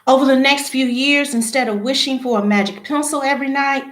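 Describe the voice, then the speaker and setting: A woman reading a children's picture book aloud, phrase by phrase, with expressive emphasis at the start of sentences. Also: sits high, a little fast. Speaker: read-aloud storyteller. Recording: echoey room.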